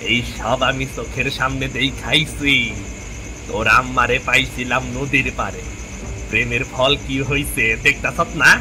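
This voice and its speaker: Over noisy ambience, a man acts out a bitter middle-aged village father from a cartoon. He lectures harshly in a mid-pitched voice with an eastern Bengali accent, stern and repetitive. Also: fairly even intonation, measured pace, natural voice. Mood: angry, bitter. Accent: eastern Bengali (Bangladeshi). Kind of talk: dramatic cartoon voice acting. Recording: clearly noisy background ambience.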